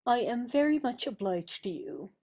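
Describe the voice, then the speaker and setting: A woman's voice: fairly high pitch, sing-song, normal speed, darkish tone. A woman playing a proud, impulsive young society woman with a theatrical, changeable manner in an audio stage play. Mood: haughty, stern.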